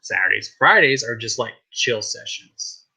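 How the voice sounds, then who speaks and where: A man hosting a casual live stream show, chatting in a hyped, rambling way, his voice a little raspy. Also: mid-range voice, expressive, a little slow, bright, forceful tone, rough recording. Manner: hyped, rambling, repeats "I kid you not". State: slightly raspy voice.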